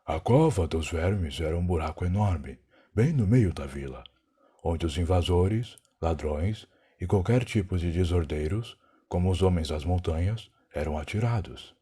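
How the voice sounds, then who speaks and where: Proud, weary time traveler played by a man, Brazilian accent, low husky voice, solemn dramatic narration for an audio drama. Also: expressive, measured pace, dark tone, exaggerated voice. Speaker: narrator and lead character. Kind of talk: dramatic first-person scripted narration.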